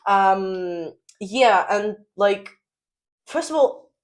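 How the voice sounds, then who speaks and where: A woman's voice: mid-range voice, lively intonation, extremely slow. Young woman hosting a casual podcast. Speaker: podcast host.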